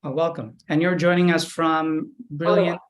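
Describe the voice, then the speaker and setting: Male panel host, a touch high-pitched, courteous moderator on a video call. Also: level tone, moderate pace, low-quality recording. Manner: polite, introduces guests by name.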